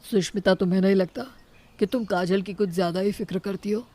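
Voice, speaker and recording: A woman voicing a concerned husband in a moral story, measured and cautioning. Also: mid-range voice, steady tone, mellow, close to an everyday voice. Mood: concerned, serious. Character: concerned husband, adult man, reasoning with wife. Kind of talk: Hindi moral story character dialogue.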